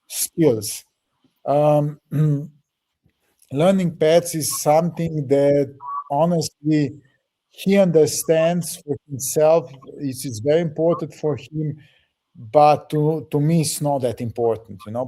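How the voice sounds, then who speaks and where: A man leading a project, explaining it to a group haltingly, with restarts and fillers. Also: on the high side, mellow, rough recording. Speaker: project leader answering questions.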